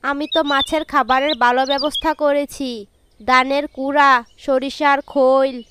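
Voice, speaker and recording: Woman voicing a very high girlish cartoon wife bird. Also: close to an everyday voice. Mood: explaining. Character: young wife bird, eager and thrifty. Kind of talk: children's cartoon moral story dialogue. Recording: noisy track with birdsong behind. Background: birds chirping.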